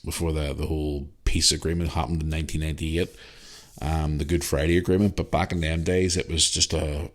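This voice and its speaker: Northern Irish man in his forties, solo podcast host, very low voice, rambling chat with fillers. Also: level tone, a little fast. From Northern Ireland. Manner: rambling, chatty, with many fillers and restarts. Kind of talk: casual solo podcast, reading listener email.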